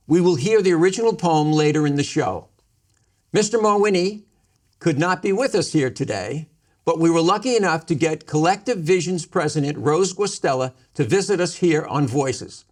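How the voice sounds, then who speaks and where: A man's voice: on the high side, darkish tone. A man hosting and interviewing a guest on a community television show. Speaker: musician. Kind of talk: public-access TV interview.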